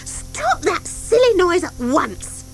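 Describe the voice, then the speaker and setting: A woman voicing a brisk, sensible young schoolgirl witch in an audiobook, forthright, recorded on old cassette. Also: very high-pitched, sing-song, voice pushed up, full character voice. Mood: cross. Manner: forthright, exclaiming.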